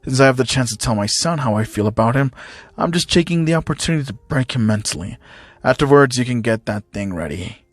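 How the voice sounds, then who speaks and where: A man voicing a cruel, sneering villainous father who speaks in a deliberate, mocking way. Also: mid-range voice, sing-song, husky, dry recording, voice pushed down. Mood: cruel contempt.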